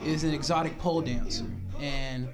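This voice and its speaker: A young man from Texas and Mississippi, a DJ guest on a casual podcast, speaking politely in a measured, wry way. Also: on the high side, steady tone, music in the background. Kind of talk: casual chat.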